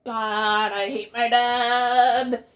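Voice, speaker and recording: A woman's voice: on the high side, little pitch movement, drawn-out words, a bit bright. A woman giving casual let's play commentary, reacting and muttering to herself as she plays. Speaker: gaming streamer.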